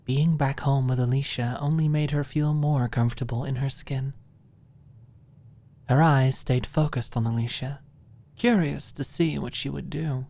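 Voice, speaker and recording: A woman with an exceptionally low voice narrates a story at a slow pace. Her delivery is scripted and soft in ASMR style, often sinking into a hush as phrases end. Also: fairly even intonation, vocal fry. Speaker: audiobook narrator.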